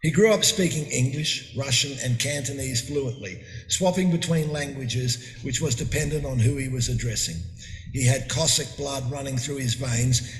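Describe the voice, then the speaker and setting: A middle-aged man delivers a eulogy in a plain, conversational way, stopping for fillers and restarts as he goes. His voice rings through a large hall with a long, roomy reverb. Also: medium pitch. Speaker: eulogy speaker. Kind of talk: funeral eulogy. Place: memorial hall.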